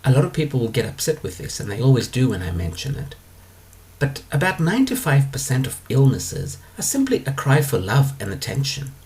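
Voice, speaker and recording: A man talking alone as a spiritual teacher, explaining his ideas in a calm, unhurried way with drawn-out pauses. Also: mid-range voice. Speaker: spiritual teacher answering questions. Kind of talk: monologue lecture.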